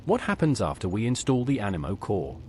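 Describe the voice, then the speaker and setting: A man's voice: lowish voice, big pitch swings, brisk, a little mellow, a little hiss or hum. A man playing a plain-spoken adventurer in scripted video game dialogue. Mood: inquiring, matter-of-fact. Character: plain-spoken male adventurer asking questions. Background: faint game music.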